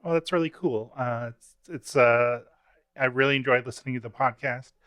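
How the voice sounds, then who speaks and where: A man's voice: mid-range voice, moderate pace, a bit bright, no room sound. A man hosting a casual interview over a video call. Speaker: interview host.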